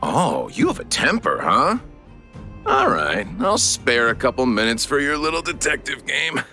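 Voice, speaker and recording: Sharp, huffy camp cook. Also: male voice, mid-range voice, huge pitch swings, unhurried, faint music behind, full character voice. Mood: defensive, confiding. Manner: blustering, indignant, condescending. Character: gruff, huffy male mining-camp cook. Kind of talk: English video game voice acting.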